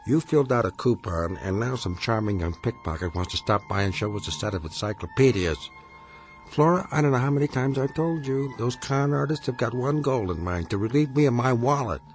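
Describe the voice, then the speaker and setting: A man's voice: medium pitch, lively intonation, brisk, faint music behind, close to an everyday voice. Man acting a grumpy, sarcastic older husband. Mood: irritated, sarcastic. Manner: exasperated, sarcastic, pompous lecturing. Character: grumpy penny-pinching older husband, a shopkeeper. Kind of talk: radio drama acting.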